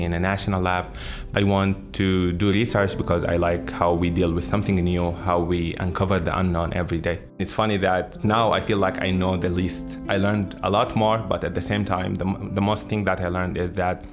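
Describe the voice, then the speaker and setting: A young man from Sudan with a Sudanese accent, a graduate student talking casually in an interview. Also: low-pitched.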